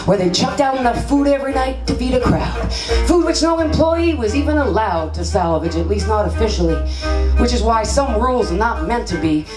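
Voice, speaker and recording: A woman's voice: on the high side, expressive, fairly quick. Theatrical woman poet performing onstage. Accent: Canadian English. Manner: rhythmic, theatrical delivery with big gestures. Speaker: spoken word poet. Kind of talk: performed spoken word poetry. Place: small stage at a festival venue. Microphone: handheld microphone. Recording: echoey live room with music.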